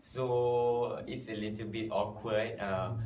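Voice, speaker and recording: A man's voice: on the low side, fairly even intonation, slow pace, a little mellow. A young man, a student, talking hesitantly with many fillers in a group discussion in a meeting room. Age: young adult. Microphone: far camera. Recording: roomy, distant, faint noise.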